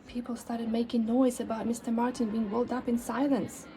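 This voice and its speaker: Children's book narrator, woman, soft mellow tone with some fry, theatrical emphasis, slight background noise. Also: fairly high pitch, flat delivery. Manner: dramatic emphasis and pauses. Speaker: storybook reader. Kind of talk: expressive children's read-aloud narration.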